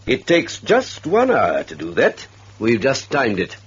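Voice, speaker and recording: An actor playing a titled male police chief in a radio drama, curt and defensive, with a rough, husky voice. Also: medium pitch, huge pitch swings, measured pace, exaggerated voice. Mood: accusing. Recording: dull, muffled old radio recording.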